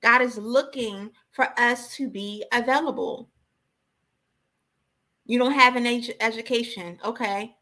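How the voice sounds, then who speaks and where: A woman's voice: a little high, a little slow, sharp tone. A woman giving an impassioned, informal sermon as a preacher, exhorting emphatically and repeating key phrases.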